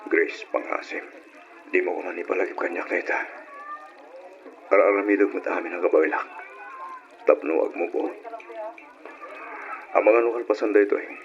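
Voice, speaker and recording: Casting: male actor for a jilted, forgiving suitor, low earnest voice, radio drama on a muffled, noisy recording. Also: fairly even intonation, moderate pace, long pauses, natural voice. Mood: hurt. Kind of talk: Ilocano radio drama acting.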